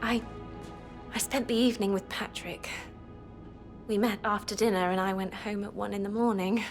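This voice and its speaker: An actress playing an anxious, naive young housemaid in a video game, speaking hesitantly. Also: female voice, mid-range voice, fairly even intonation, normal speed, natural voice. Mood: nervous, pleading. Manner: hesitant, nervous. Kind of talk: scripted video game dialogue. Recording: music behind. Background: music.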